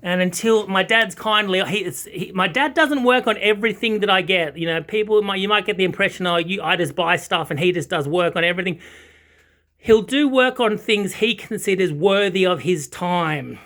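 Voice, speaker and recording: An Australian man gives an off-the-cuff hobbyist review in a notably high voice. His tone is bright and keen, and he rambles along with halting, enthusiastic phrasing. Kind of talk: casual unscripted product chat. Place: small carpet-and-wood home listening room with foam panels.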